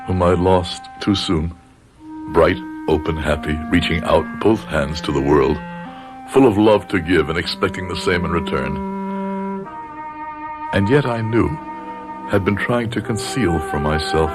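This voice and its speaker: An actor playing a middle-aged, devoted and worried professor father in a radio drama, speaking in a formal, articulate, reflective way. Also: sits low. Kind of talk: American radio drama acting with first-person narration. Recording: radio drama with music behind.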